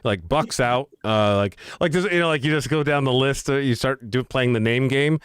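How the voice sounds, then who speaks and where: A man's voice: mid-range voice, moderate pace, fairly bright tone, clean tone, dry recording, studio quality. A man in his thirties co-hosting a casual chat, joking and laughing often. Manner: jokey, laughs often, drifts into movie quotes. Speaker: podcast co-host.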